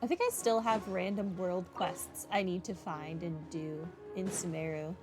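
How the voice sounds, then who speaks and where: A woman's voice: on the low side, fairly even intonation, normal speed. A woman giving casual playthrough commentary on a video game, musing and thinking aloud. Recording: loud game music behind voice. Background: game music.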